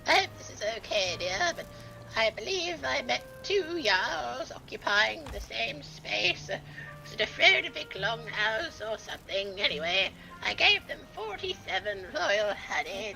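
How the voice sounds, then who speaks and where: A woman's voice: on the high side, very animated, measured pace, creaky, sharp tone, faint music behind, full character voice, rough recording. A woman voicing a sweet, scatterbrained old grandmother who dithers and keeps saying "oh dear" during a role-play game. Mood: chatty. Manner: dithering, keeps exclaiming "oh dear" and calling people dear.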